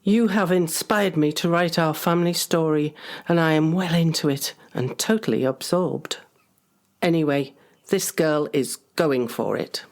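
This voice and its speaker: Female reader, lower-pitched voice, delivering a personal letter as a prepared read. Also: unhurried. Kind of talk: reading a written message aloud.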